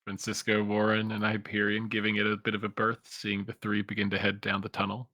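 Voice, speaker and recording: Bright-voiced man running a tabletop game. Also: on the low side, a little fast, no echo. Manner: relaxed descriptive narration, laughs often. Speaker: game master. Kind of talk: narrating a tabletop game over a call.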